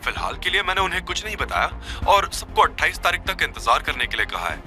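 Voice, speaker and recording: A man plays a loyal male assistant in an audio story, a little higher than his own voice and noticeably quieter. He sounds careful and deferential, as if reporting in confidence. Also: sing-song, fast talker, faint music behind, heavily acted.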